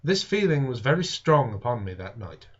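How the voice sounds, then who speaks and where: A man reading a classic science fiction novel aloud as dramatic narration, with deliberate, expressive, theatrical phrasing. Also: mid-range voice, husky. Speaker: audiobook reader. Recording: dull lo-fi home recording.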